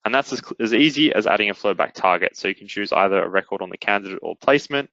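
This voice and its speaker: Quick-talking man presenting a software demo. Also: on the low side, expressive, vocal fry, no room sound, highs cut off. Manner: instructional, step-by-step. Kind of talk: explanatory webinar demo narration.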